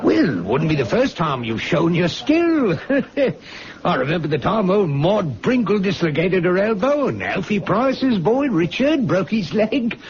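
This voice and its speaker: An actor playing a chatty, folksy village pub regular in a radio drama. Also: male voice, high-pitched, sing-song, measured pace, exaggerated voice. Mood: coaxing. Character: gossipy, chummy English village pub regular. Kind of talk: radio drama acting, colloquial. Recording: dull radio recording with crowd behind.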